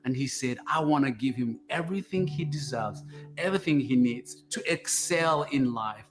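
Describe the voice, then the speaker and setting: A man speaks at a mid pitch, slowly and earnestly, pausing as he reflects. His testimony has a heartfelt, documentary feel, with faint music playing underneath. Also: expressive. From Uganda. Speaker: foster and adoptive father. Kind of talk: personal testimony in a documentary clip.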